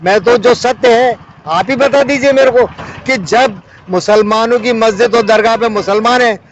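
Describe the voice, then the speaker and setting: Male monk interviewee, high voice, argues emphatically; dull audio over vehicle noise. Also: moderate pace. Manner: emphatic, argues with repetition and rhetorical questions. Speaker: Buddhist monk being interviewed. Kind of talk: impassioned interview answers.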